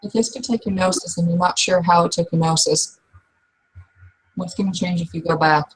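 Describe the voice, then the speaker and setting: A woman playing a blunt, skeptical werewolf in a tabletop roleplay, probing with frank questions and pausing before the pointed ones. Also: lowish voice, fairly even intonation, breathy edge, low-quality recording. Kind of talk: in-character tabletop roleplay dialogue.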